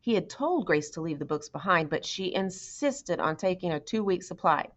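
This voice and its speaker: Woman, audiobook reader, somewhat low voice, storybook narration, slightly muffled lo-fi recording. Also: lively intonation, moderate pace. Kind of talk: storybook read-aloud narration.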